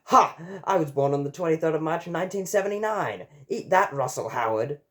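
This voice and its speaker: A man acts out an excitable male hero from a comic storybook. His voice sits higher and louder, exclamatory and quick to take offence. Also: natural voice. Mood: excited.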